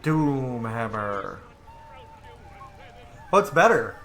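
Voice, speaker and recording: A man's voice: mid-range voice, big pitch swings, extremely slow, a bit bright, reverberant. A man giving casual, irreverent gameplay commentary, muttering asides and swearing lightly. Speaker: video game streamer.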